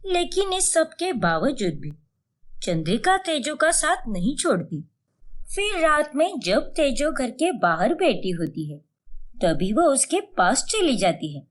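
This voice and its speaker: A woman reads scripted narration for an animated bedtime moral tale. Her voice is a little high, with very lively intonation and a slow, storytelling pace.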